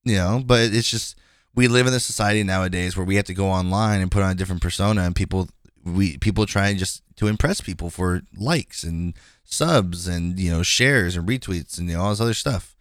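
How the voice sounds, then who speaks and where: A man's voice: low-pitched, fairly quick, dry recording, studio quality. Frank, rambling young male podcast host. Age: 20s. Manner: frank, rambling, many fillers and restarts. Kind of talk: casual conversation.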